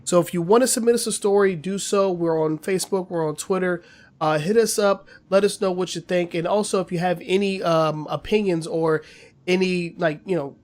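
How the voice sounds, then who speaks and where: A man's voice: a little high, a little mellow. A man co-hosting a casual gaming news podcast, chatty with many fillers and slightly nasal from a cold. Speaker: podcast co-host.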